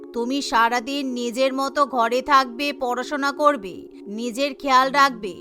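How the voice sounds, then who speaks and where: Woman acting lower-pitched, doting mother-in-law. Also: sharp tone. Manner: soothing, doting. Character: kind, indulgent rich mother-in-law, older woman. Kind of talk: Bengali cartoon voice acting. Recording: music behind the voice.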